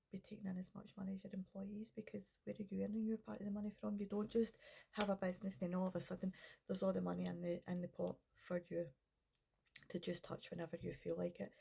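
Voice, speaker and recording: Woman, middle-aged, bunged up with a cold, low gravelly husky voice, rambling casual coaching chat. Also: dark tone. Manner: rambling, chatty, self-interrupting. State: congested with a cold. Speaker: business coach and counsellor. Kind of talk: casual vlog talk to camera.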